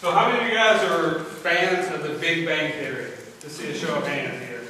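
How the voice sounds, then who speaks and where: Male presenter, loud voice, slightly high pitch, heard from afar in an echoey hall. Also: normal speed, far from the mic, slight background noise. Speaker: conference presenter. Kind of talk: presentation to an audience. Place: large convention center meeting room.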